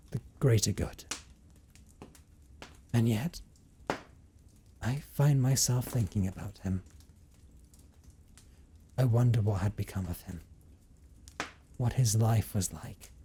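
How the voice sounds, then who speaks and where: Man playing a low, slow-speaking brooding male vampire. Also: warm tone, a little hiss or hum. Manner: formal, theatrical, deliberate phrasing with condescending endearments. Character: brooding, teasing, possessive male vampire living as a forest recluse. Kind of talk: dramatic first-person audio roleplay monologue.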